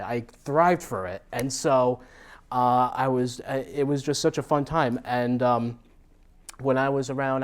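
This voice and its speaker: An American man from New Jersey giving an informal talk as a guest speaker, telling stories with self-deprecating animation. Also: medium pitch. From New Jersey, United States. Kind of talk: informal autobiographical talk to a meetup audience.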